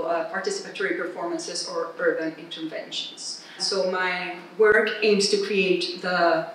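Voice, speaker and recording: A woman's voice: fairly low pitch, a bit bright. A middle-aged woman with a Chilean Spanish accent, introducing herself as an artist on a panel. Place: gallery room, indoors. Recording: very echoey, distant mic, faint noise.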